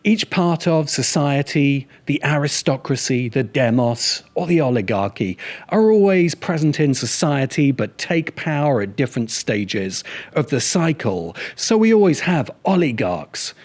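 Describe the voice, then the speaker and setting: A man's voice: mid-range voice, very animated, unhurried, darkish tone. A man narrating a scripted video essay as its host, with dry, sardonic asides.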